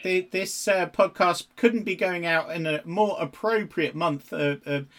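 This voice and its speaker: A man's voice: sits high, a little slow, a bit bright. A man hosting a podcast interview, asking questions and giving short encouraging responses. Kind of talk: casual interview conversation.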